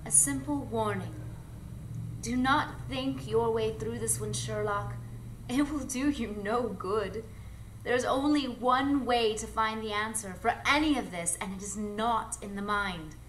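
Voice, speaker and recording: A woman playing an alluring, ageless, mysterious adventuress in a live radio drama, speaking in a measured, coy, theatrical way. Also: on the high side, a bit bright. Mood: earnest, mysterious. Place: live performance space before an audience. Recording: noisy live stage recording.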